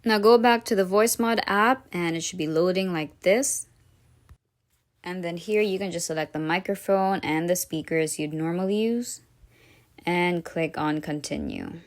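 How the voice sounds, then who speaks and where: Lower-pitched woman narrating a tutorial. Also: normal speed. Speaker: tutorial host. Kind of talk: casual step-by-step software tutorial narration.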